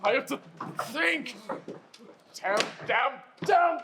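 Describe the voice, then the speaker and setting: A man's voice: very high-pitched, animated, slow, creaky, echoey room, exaggerated voice. A melodramatic middle-aged husband and actor in a stage farce, played by a man. He is florid, exasperated and easily panicked. There is faint noise on the theater recording. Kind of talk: projected comic stage farce acting.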